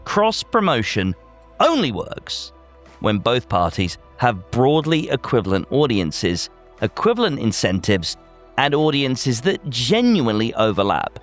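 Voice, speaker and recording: A man's voice: medium pitch, very animated, measured pace, faint music behind. A man hosting a podcast, delivering scripted commentary in a wry, emphatic way, speaking straight to the listener. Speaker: podcast host.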